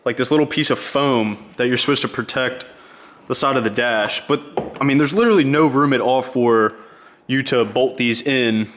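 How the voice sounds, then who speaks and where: A man's voice: medium pitch, normal speed, fairly bright tone, slight background noise. Laid-back man explaining a car install. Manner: laid-back, rambling with fillers. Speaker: channel host. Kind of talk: casual how-to vlog. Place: garage.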